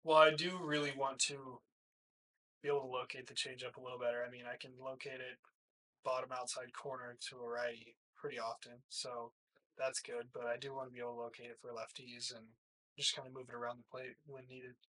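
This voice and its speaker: A man's voice: mid-range voice, little pitch movement, brisk, sharp tone, poor audio. A nineteen-year-old man, a college baseball player, answering questions casually as a podcast guest in a small room. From hill country, United States. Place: small plain room, indoors, seated.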